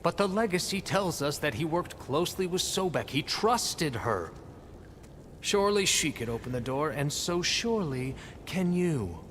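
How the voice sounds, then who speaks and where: A man's voice: on the high side, lively intonation, normal speed, fairly bright tone, big-room sound, a little hiss or hum. An actor playing a grandiose, self-deluded male leader in a video game, speaking in pompous, theatrical declarations. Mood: haughty. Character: grandiose, self-deluded male leader who believes himself a reborn ancestor. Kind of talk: video game cutscene acting.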